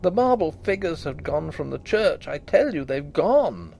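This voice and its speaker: A man's voice: on the high side, sing-song, measured pace, vocal fry, a little mellow, slight background noise, pitched above the performer's own voice, heavily acted. A man voicing a frightened young husband who has seen something he cannot explain, speaking in an agitated, pleading way. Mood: frantic. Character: frightened young husband, frantic then sheepish.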